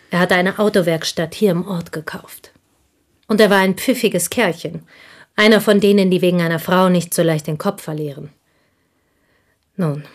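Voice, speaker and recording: An actress playing a bitter, confessing village schoolteacher in an intimate German radio play. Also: female voice, fairly low pitch. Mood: grim recounting. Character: bitter, confessing French village schoolteacher, woman, jealous confidante.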